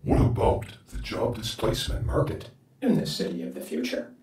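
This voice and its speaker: A man asking a scripted question through a spectral pitch-warping effect. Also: normal speed, darkish tone. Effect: pitch-warped, spectral shifting effect. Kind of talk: scripted question in a sample clip. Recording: roomy, echoing processed sample clip.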